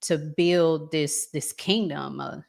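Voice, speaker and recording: A woman hosting a casual talk show, reading out audience comments and posing questions in a measured, deliberate way. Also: low voice, gravelly.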